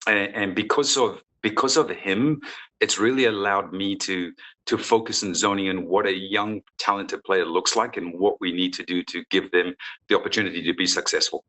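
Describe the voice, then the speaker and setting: A man's voice: low-pitched, steady tone, normal speed, fairly bright tone, low-quality recording. A middle-aged man from South Africa, a football coach, answering interview questions in an earnest, explanatory way. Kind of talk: conversational interview.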